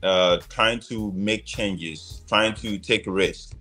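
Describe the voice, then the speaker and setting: A man's voice: lowish voice, takes it slowly, bright, forceful tone, breathy edge, faint music behind. A man from Ghana with a Ghanaian accent gives his opinions conversationally as a guest, emphatically and often checking in with "right?". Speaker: interview guest.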